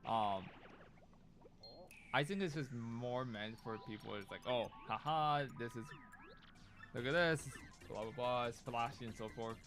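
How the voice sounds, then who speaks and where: A man's voice: medium pitch, measured pace, pauses often. A man whose first language is Cantonese, talking casually while playing a game. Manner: chatty, thinking out loud. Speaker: gaming streamer. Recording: game music clearly behind voice. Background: video game music.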